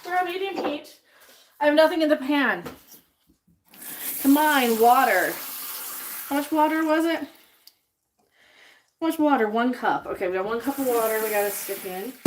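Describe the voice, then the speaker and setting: Blunt, swearing woman streamer, slightly high. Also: a little hiss or hum. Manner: blunt, swears freely, rambling with restarts; reads chat names aloud and answers them. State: a bit sniffly. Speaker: livestream host. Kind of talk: casual livestream chat while cooking.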